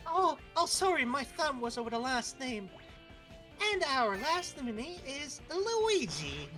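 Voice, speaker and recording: A woman acting as a brisk cartoon princess hosting an award show in a story read-aloud, with music behind her. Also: sits high, very animated, fairly bright tone, full character voice. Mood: brisk, peppy.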